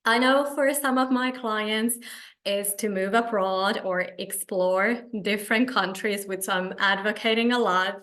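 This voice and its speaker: A woman from Finland with a Finnish accent, coaching a live online meetup and addressing participants directly in halting phrases. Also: mid-range voice, fairly bright tone. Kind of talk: live webinar presentation to participants.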